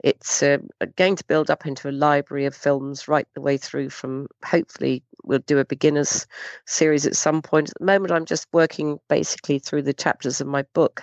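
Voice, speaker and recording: A woman with an English accent who has a cold and sounds croaky, answering interview questions hesitantly with many ums. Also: low-pitched, steady tone, fairly quick, no echo. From United Kingdom. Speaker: guest riding instructor. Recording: dull lo-fi audio.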